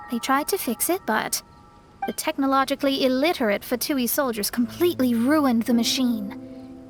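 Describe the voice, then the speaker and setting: Earnest, high-voiced young investigator. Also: female voice. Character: earnest young female investigator explaining clues. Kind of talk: video game English dub acting.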